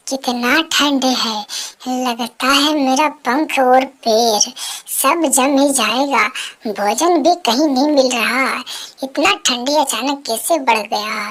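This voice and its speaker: A tiny, worried female bird with a very high voice, acted by a woman. She speaks slowly and plaintively, stretching her words in a children's cartoon fable. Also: fairly bright tone, heavily acted. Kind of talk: Hindi children's animated fable dialogue.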